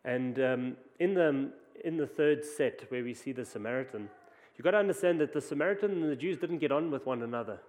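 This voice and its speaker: A man's voice: mid-range voice, brisk, few pauses, darkish tone. A man with a South African accent, preaching a conversational sermon with frequent restarts. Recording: long hall reverb.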